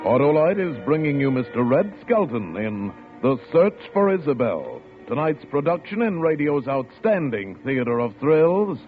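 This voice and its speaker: Male radio announcer, lively formal delivery, tinny old radio, faint music. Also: fairly high pitch, normal speed, hardly any pauses.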